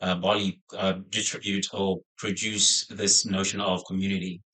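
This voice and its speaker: A male podcast host with a fairly low voice runs an interview. He puts his questions together slowly, with uhs and small restarts along the way. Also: flat delivery, pushed and bright, poor audio. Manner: halting questions with fillers. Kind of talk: interview hosting.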